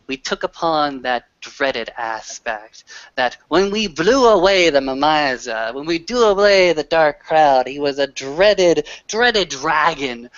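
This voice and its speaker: A man's voice: fairly high pitch, a bit bright, dry recording, highs cut off. A man voicing a proud werewolf warrior, telling a battle saga in a theatrical, emphatic way with repeated words for effect. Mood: ominous. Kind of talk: dramatic in-character storytelling.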